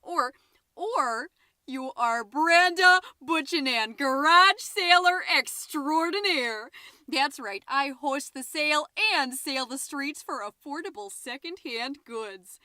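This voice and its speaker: A woman's voice: high-pitched, lively intonation, slow, sharp tone, no room sound, studio quality, exaggerated voice. A woman playing a chatty, scatterbrained, overexcited middle-aged suburban mom who hosts a comedy podcast, rambling, interrupting herself and stressing words theatrically. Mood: grandly excited. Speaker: podcast host. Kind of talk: comedic character monologue as a homemade podcast.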